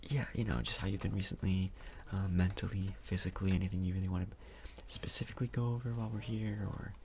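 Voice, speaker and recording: A man speaking softly, close to a whisper, in an ASMR doctor role-play, with a casual, hesitant, reassuring manner, close to the microphone. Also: low-pitched, little pitch movement, fast talker, slight background noise.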